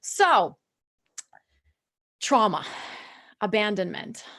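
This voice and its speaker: Woman, native Russian speaker, mid-pitched voice, confiding live talk, earnest, halting, emphatic stretched words. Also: big pitch swings, a bit bright. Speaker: mindset coach hosting a live show.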